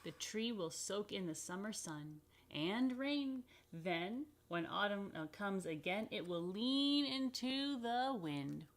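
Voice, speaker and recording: Expressive woman reading a children's story. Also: medium pitch. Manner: expressive, unhurried reading with dramatic pauses and chatty asides. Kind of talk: children's picture-book read-aloud with casual asides. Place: indoors.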